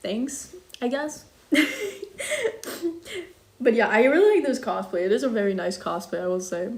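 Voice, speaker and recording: A young woman streaming live while she cooks, chatting casually and reading out viewer comments. She speaks international-school English with slight German touches and fills her talk with ums and quick apologies. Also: medium pitch, animated, a little mellow. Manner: chatty, self-deprecating, frequent ums and apologies.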